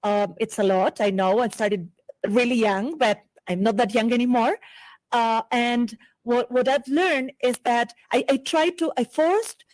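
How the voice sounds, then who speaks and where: A woman in her forties from Argentina, a native Spanish speaker, giving a conference lecture in English with an Argentine accent. She talks fast and often restarts and repeats words as she goes. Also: a little high, darkish tone, dry recording, low-quality recording.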